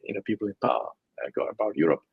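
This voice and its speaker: Brief: male guest, gravelly and husky tone, emphatic and filler-heavy, dry close recording. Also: on the low side, lively intonation, racing, no echo, phone-quality sound. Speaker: guest, crypto scientist. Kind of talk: casual interview talk.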